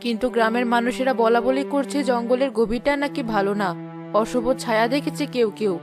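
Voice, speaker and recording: A woman's voice: on the high side, steady tone, brisk, natural voice. A woman playing an anxious, loving middle-aged village mother in a cartoon, worried and pleading. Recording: music behind the voice.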